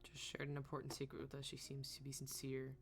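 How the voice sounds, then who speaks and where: A woman's voice: a bass voice, completely flat delivery, quick pace, faint music behind. A woman live-streaming a game, making casual, wry, offhand comments as she plays.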